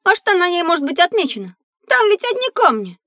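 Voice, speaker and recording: Excitable, high-voiced curious young boy. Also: a little fast, no room sound, studio quality, heavily acted. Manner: exclamatory, wide-eyed, naive. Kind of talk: children's educational audio drama acting.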